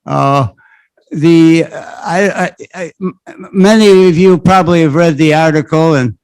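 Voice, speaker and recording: A man's voice: a little high, unhurried, a little mellow, clear, resonant tone, no echo. An older man, a retired school principal, speaking at a community panel on a handheld mic, rambling with frequent restarts. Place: library event room. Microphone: handheld microphone.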